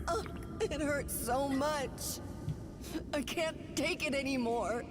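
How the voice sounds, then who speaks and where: A woman's voice: sits high, slow. A woman playing an anguished, bitter mother pleading in pain in a horror game, with music over her voice.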